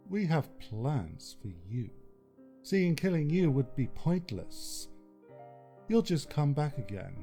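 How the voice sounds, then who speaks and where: A man voicing a tall, cloaked pony villain in a story reading, deep, condescending and softly menacing, with music behind. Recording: background music under the voice.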